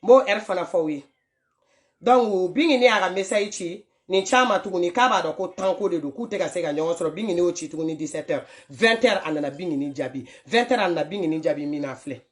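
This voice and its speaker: A woman commenting casually on voice notes for her followers, animated and scolding, often laughing as she talks. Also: fairly low pitch, fairly quick. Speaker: social media commentator.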